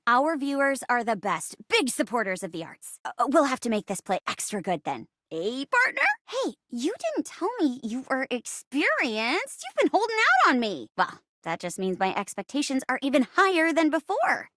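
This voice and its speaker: A woman's voice: high-pitched, very animated, normal speed, hardly any pauses, fairly bright tone, dry recording, clean studio sound. A woman voicing a peppy living chair in a video game, a theatrical, giggly and encouraging improv show host. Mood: bubbly, playful. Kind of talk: video game character voice acting.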